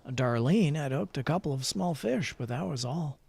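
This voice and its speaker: A man's voice: a little high, expressive, moderate pace, gravelly, a little mellow, breathy edge, dry recording. A man streaming a game, switching between playful chatter and theatrical story read-aloud.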